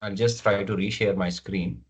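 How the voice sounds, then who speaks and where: A man's voice: lowish voice, fairly even intonation, moderate pace, breathy edge. A man with an Indian English accent hosting an online webinar, speaking casually with many fillers and restarts. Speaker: webinar host. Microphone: computer mic on video call. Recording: dull lo-fi video call audio.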